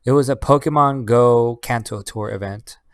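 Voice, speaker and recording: A man's voice: mid-range voice, normal speed, smooth, no echo, clean studio sound. A man giving a casual personal vlog update in a rambling, conversational way. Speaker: vlogger; Air Force master sergeant in knowledge management.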